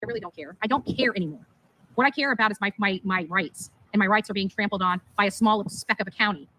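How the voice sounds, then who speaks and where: A woman's voice: mid-range voice, fast, bright, forceful tone, slight background noise, low-quality recording. A woman livestreamer speaking in an emphatic, indignant and repetitive way as she vents. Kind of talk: live stream monologue, venting.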